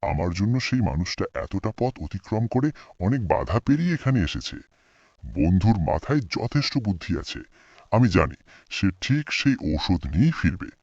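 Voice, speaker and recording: A man voicing an anxious, loyal friend in a fairy-tale cartoon, husky and fretting to himself. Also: fairly low pitch, very animated, moderate pace, darkish tone, dry recording, exaggerated voice. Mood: anxious, hopeful. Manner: fretful, self-reassuring, dramatic. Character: anxious, loyal male friend waiting outside a cave. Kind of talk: scripted fairy-tale monologue. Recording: dull, lo-fi sound.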